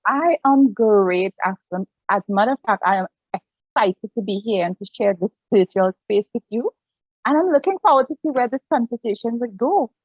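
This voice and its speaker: A woman's voice: fairly high pitch, expressive, normal speed, slightly rough, dry recording. Earnest Trinidadian woman, dull dry call audio. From Trinidad and Tobago. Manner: earnest, reflective, restarts her sentences. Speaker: guest, pastor and family life counselor. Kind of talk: personal story in an online interview.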